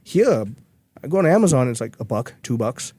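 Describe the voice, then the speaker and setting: A man's voice: medium pitch, big pitch swings, fairly quick, mellow, no room sound. A man from Malaysia, speaking earnestly as a guest in a podcast interview, with frequent restarts. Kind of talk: conversational interview.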